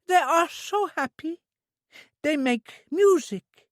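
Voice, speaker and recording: A reader voicing a childlike, eager young woman who speaks slowly in a high, sing-song, pleading way. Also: animated, no room sound, studio quality.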